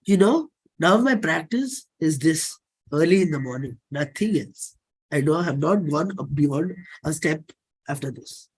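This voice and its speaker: A performer with an Indian accent giving a dramatic reading of a personal play script, deliberate, with pauses and repeated phrases. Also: mid-range voice, expressive, husky, rough recording. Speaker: performer and singer.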